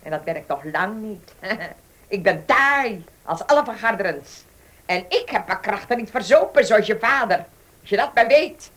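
A woman's voice: medium pitch, expressive, normal speed, close to an everyday voice. A woman playing a bossy, spiteful old aunt in a radio drama, scornful and nagging.

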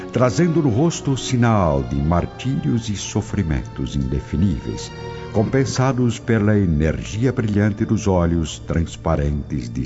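A man with a very low voice narrates a radio drama solemnly. His delivery is expressive and melodic, set over clear music in a slightly dull recording.

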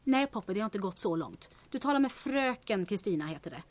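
A woman's voice: mid-range voice, expressive, lightly acted. An actress playing a capable, wry woman secretary at a town hall in a radio drama, speaking in a dry, matter-of-fact way.

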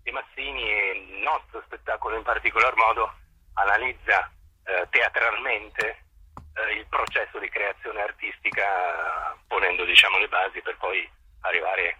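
A man interviewed as a theatre director, explaining in formal, deliberate phrases with halting pauses. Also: lowish voice, steady tone, sharp tone, a little hiss or hum, low-quality recording.

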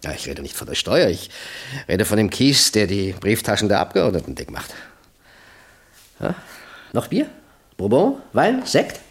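An actor in a 1960s German radio play playing a talkative, self-important American gangster who boasts and haggles. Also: male voice, on the low side, wildly swooping pitch, measured pace, long pauses, breathy edge, heavily acted. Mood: smug. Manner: chatty, self-important, full of rhetorical questions. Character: talkative American gangster boss, chummy, boastful, bargaining nervously. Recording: 1960s radio, music behind.

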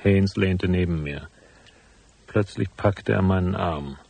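A cool private detective in a radio drama, both narrating and in dialogue. His voice is fairly low with a husky edge. The delivery is laconic and matter-of-fact. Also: slow, a little mellow, no room sound, heavily acted. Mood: cool, brooding. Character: cool hard-boiled male private investigator narrating his case.

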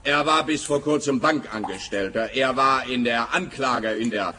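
An actor playing a pompous, dim police official who talks in a self-satisfied, long-winded way in a radio play. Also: male voice, mid-range voice, normal speed, sharp tone, a little hiss or hum, close to an everyday voice.

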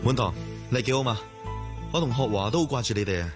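An actor with a Hong Kong accent playing a considerate, tactful confidant in a radio drama, in a gentle conversational manner. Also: male voice, medium pitch, lively intonation, fast, over music, lightly acted. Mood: friendly, teasing.

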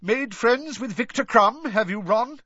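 A man's voice: very high voice, lively intonation, a little slow, sharp tone, husky, dry recording, muffled recording, voice pushed up, heavily acted. A man voicing a pompous, self-important young man with a fussy, officious manner in a storybook reading. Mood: smug.

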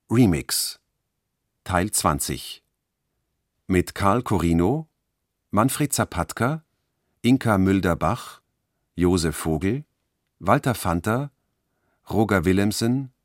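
Male radio announcer, deep and dry-recorded, reading scripted credits in measured phrases. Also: dry recording.

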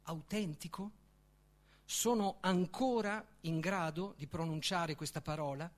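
A man reading literary prose aloud as its author, in a declamatory, deliberate manner with emphatic pauses. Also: on the high side. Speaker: author reading own book. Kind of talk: literary reading, dramatic recitation.

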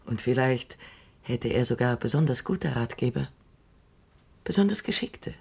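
An actress playing Doctor Calvin, a shrewd, dry and assured elderly woman robot psychologist, speaking in a measured, knowing way in a radio drama. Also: rumbling low, lively intonation, creaky, darkish tone, husky, heavily acted. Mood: wry.

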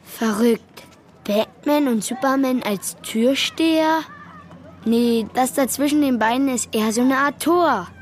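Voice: high voice, unhurried, a little hiss or hum. A performer playing a timid, anxious schoolboy in a children's radio play, speaking hesitantly. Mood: puzzled, worried. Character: timid, easily frightened schoolboy in superhero costume.

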